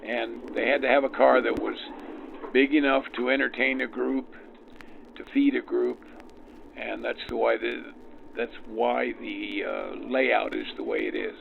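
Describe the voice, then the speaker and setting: A man with a heavily creaky, gravelly voice explains things in measured phrases with pauses. The sound is thin and band-limited, like a 1940s radio broadcast. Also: mid-range voice, background noise. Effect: vintage 1940s radio filter. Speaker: private railcar host, interview guest. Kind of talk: unscripted explanatory interview talk. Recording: tinny narrow-band old radio sound.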